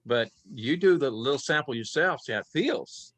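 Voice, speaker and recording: A man's voice: mid-range voice, animated, normal speed, a bit bright, no room sound. A man author chatting folksily on a panel. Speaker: author guest. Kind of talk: casual panel chat.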